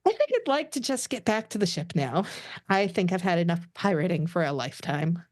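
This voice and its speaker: A woman playing an earnest, weary young female alien starship crewmate in an animated sci-fi comedy table read, with a gentle manner. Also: fairly low pitch, brisk.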